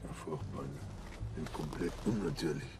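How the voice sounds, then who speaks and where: An actor playing a blunt police officer who swears freely, with music behind. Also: male voice, very deep voice, normal speed. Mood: gruff. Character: gruff male police officer giving orders.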